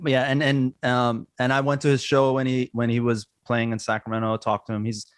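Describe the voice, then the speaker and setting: A man's voice: mid-range voice, flat delivery, a little fast, smooth, dry recording, studio quality. A man in his forties with a Persian accent, who grew up in Iran, hosting a casual podcast chat full of fillers and repeated yeahs. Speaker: podcast host.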